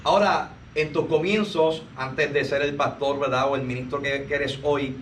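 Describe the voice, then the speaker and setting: A man's voice: mid-range voice, steady tone, normal speed. A man interviewing a fellow minister, echoing the guest's answers and prompting him on. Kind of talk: conversational interview. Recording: roomy, distant mic with faint noise.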